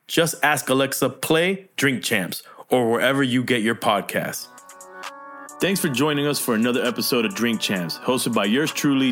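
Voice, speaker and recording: A man who hosts the show reading the outro credits in a steady, even manner. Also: mid-range voice. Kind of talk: scripted outro read.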